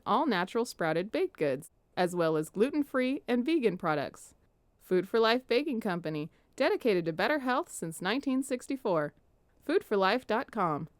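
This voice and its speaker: Woman announcer, scripted sponsor read, animated, dry booth sound. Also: medium pitch, no echo. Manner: lively, polished read.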